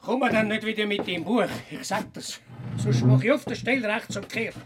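High-pitched, exasperated Swiss village mayor. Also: male voice, big pitch swings, moderate pace, noisy background. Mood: weary exasperation. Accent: Swiss German. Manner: admonishing, dismissive. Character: exasperated, pragmatic village mayor, farmer's old school friend. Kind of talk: radio play acting.

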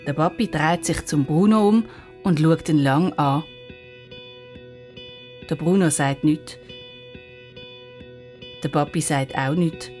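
A woman's voice: low-pitched, slow, long pauses, a little mellow, faint music behind. A woman with a Swiss German accent narrating a scripted children's story.

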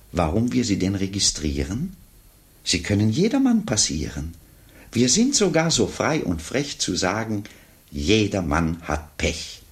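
An actor playing a wry, self-assured narrator, reciting a rhymed prologue in measured, theatrical 1950s radio style. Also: male voice, sits low, full character voice. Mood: smug, amused. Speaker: narrator introducing a radio play.

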